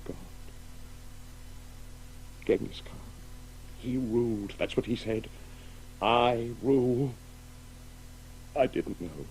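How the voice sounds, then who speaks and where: An actor playing an anguished middle-aged father full of guilt and grief in a radio play, halting and repeating himself. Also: mid-range voice, darkish tone, rough recording. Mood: guilt-ridden, anguished. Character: anguished, guilt-ridden father grieving a lost woman. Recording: faint hiss of an old radio broadcast.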